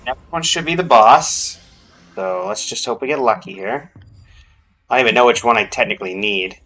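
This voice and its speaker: A man's voice: mid-range voice, normal speed, a bit bright, soft background music. A man giving casual gameplay commentary as a streamer, thinking aloud as he goes. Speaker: game streamer.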